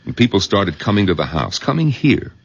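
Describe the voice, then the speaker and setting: A skeptical doctor, very low and husky, with pitch that swings widely in wry exasperation. It is 1970s radio acting, close and dry on a muffled old broadcast. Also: male voice, very animated, fairly quick, no echo, full character voice. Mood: exasperated. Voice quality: gravelly, husky. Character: skeptical, exasperated male doctor, the old man's son-in-law. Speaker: narrator and doctor character.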